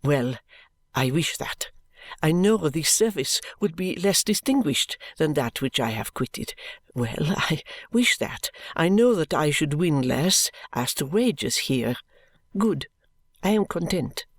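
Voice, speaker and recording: A woman voicing an intense, proud French lady's maid with a French accent, speaking in a low, earnest, subdued way. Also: animated, takes it slowly, slightly rough, no echo, studio quality, exaggerated voice. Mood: earnest pleading.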